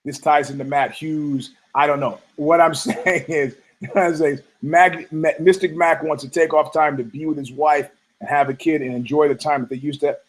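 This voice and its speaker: Hoarse, husky-voiced man rambling on a podcast. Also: on the high side, steady tone, moderate pace. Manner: rambling, profane, frequent restarts. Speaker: podcast host. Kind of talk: casual rambling monologue.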